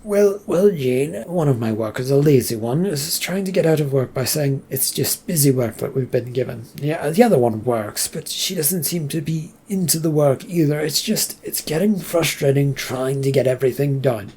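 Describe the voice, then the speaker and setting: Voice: mid-range voice, expressive, moderate pace, few pauses, mellow, slightly rough. A reader doing a flustered, stammering manager. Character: flustered male manager, stressed.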